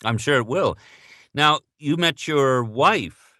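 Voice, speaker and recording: A man working as an interviewer, introducing material and asking questions in a measured, slightly hesitant way. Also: medium pitch, animated, bright, forceful tone, no room sound, clean studio sound.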